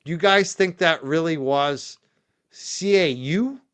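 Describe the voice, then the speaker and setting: A man's voice: fairly high pitch, takes it slowly, a bit bright, clean tone. A man hosting a livestream in casual chat, rambling with lots of fillers and laughing easily. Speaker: livestream host.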